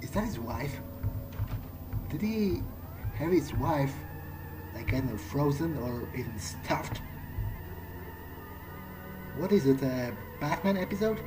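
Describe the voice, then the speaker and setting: A man's voice: on the high side, expressive, takes it slowly, pauses often, low-quality recording. A man doing in-character let's play commentary on a video game, hesitant and thinking aloud. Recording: game music clearly behind voice. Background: video game soundtrack.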